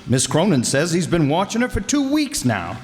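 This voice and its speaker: Radio detective hero, male, actor in role: wisecracking, confident, higher-set lively voice, hall reverb. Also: normal speed, slight background noise. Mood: urgent explaining. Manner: breezy, teasing, confident. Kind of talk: old-time radio crime drama acting. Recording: reverberant hall stage performance.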